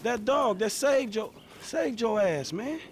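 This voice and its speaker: A man's voice: high voice, lively intonation, slow. An actor playing a bemused, streetwise American man in a video game, casual and slangy. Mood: bewildered. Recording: very loud background noise.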